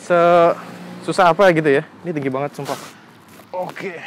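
A man's voice: on the high side, fairly even intonation, normal speed. A young adult man giving a casual hands-on motorcycle review, speaking in a colloquial, slangy and exclamatory way. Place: outdoors on a residential street. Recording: outdoor phone recording with background noise.

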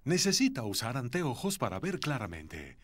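A man playing a friendly storybook narrator for a preschool cartoon, explaining things simply and clearly. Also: mid-range voice, huge pitch swings, moderate pace. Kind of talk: scripted children's narration.

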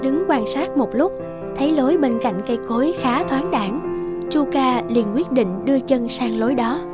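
A woman narrating a scripted children's fairy tale with expressive storytelling. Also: high-pitched, normal speed, over loud music.

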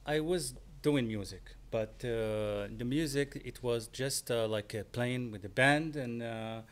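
A man in his forties with a Moroccan accent speaks into a studio microphone, his voice smooth and clean. He talks haltingly in casual interview chat, often restarting and hunting for the right word. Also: mid-range voice. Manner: halting, searching for words, many restarts. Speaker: guest composer. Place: small studio with screens. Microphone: studio mic on stand, headphones.

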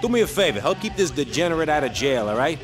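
A man's voice: fairly high pitch, very animated, quick pace, music in the background. An actor playing a pushy, sarcastic criminal dealer in a video game cutscene, needling as he talks. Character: sardonic, pushy, fast-talking male criminal dealer.

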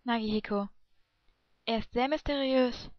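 Woman acting flustered anime schoolgirl, earnest, unhurried, amateur fandub, dry muffled mic. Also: on the high side, no room sound, close to an everyday voice. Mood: hesitant. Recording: muffled, dry home microphone.

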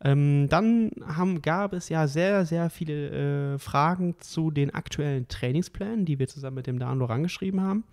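A man co-hosting a casual podcast as a triathlon coach, talking in a relaxed way with lots of fillers and easy laughs. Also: a little high, moderate pace, few pauses.

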